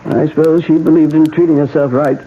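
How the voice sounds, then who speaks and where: An actor playing a matter-of-fact, mildly wry hospital doctor in a 1970s radio drama. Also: male voice, fairly high pitch, steady tone, brisk, lightly acted. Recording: muffled, faintly noisy old radio recording.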